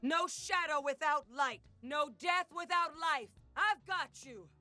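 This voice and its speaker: A woman playing a haunted, resolute and compassionate sentinel champion in a video game, speaking solemn, poetic lines in a measured way. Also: high-pitched, sharp tone, dry recording. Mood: gentle, resolute. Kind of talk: dramatic game character voice lines. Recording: game voice lines over music.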